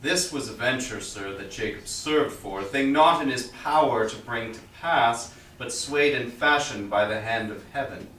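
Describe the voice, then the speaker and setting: A man's voice: mid-range voice, normal speed, few pauses, fairly bright tone, close to an everyday voice. A man acting a proud, scornful merchant in a Shakespearean staged reading, with formal, declamatory delivery. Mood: challenging. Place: live staged reading in a reverberant room. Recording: echoey room, distant mic, faint noise.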